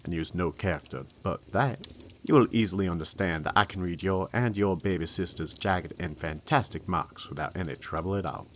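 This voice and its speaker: A man reading a letter aloud in a measured storytelling delivery. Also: low-pitched, slight background noise. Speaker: reader in a theatre company's audio series.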